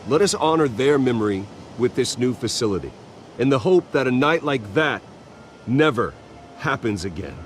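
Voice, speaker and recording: An actor playing a guarded, wealthy philanthropist in a video game, earnest, measured and solemn. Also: male voice, mid-range voice, expressive, faint music behind, close to an everyday voice. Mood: solemn, sincere. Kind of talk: video game cutscene acting.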